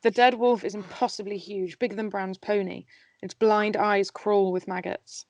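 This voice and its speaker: A woman's voice: medium pitch, steady tone, normal speed, darkish tone, no echo. A woman narrating stage directions in an amateur script table read.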